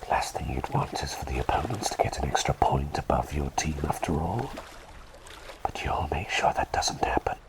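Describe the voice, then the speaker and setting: A man playing a gentle, doting plague doctor in an ASMR roleplay, speaking in soothing, slightly formal, breathy whispers. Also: rumbling low, expressive, normal speed. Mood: encouraging, playful. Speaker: ASMR performer.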